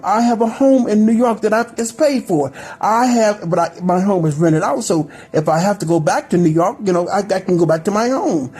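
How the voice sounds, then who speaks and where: A woman's voice: mid-range voice, dark tone, slightly rough. A woman in her thirties giving an earnest, preachy pep talk, often repeating her phrases for emphasis. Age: 30s. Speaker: spiritual group team member. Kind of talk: encouraging monologue.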